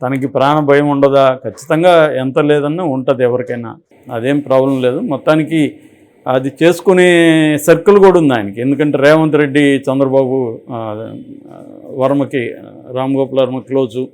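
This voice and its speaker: A man's voice: medium pitch, fairly even intonation, normal speed, a little mellow. A man with a Telugu accent giving unscripted opinionated commentary, explaining through examples and rhetorical questions. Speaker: political and film commentator.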